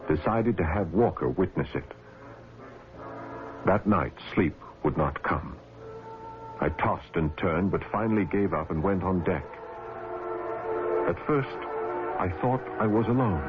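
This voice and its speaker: A man playing an earnest, concerned ship's doctor who also narrates, in old-time radio drama style. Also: low voice. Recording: muffled, noisy old radio broadcast recording.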